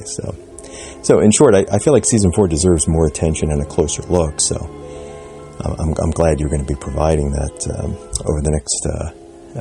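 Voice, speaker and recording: A man's voice: a bass voice, gravelly, mellow. A man giving spoken commentary on a TV episode, rambling and hesitant, with many fillers and restarts. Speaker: podcast contributor.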